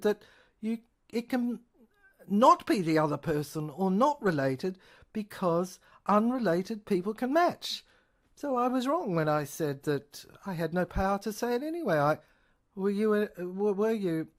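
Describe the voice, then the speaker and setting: A woman performing a dramatic solo reading of a courtroom-style argument, speaking in a halting, repetitive and argumentative way. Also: fairly low pitch.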